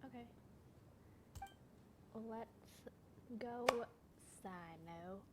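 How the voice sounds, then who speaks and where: A woman giving casual let's-play commentary in an offhand, muttering way, with loud game sounds behind her. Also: medium pitch, extremely slow, heavy background noise. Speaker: gaming video host. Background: video game sounds.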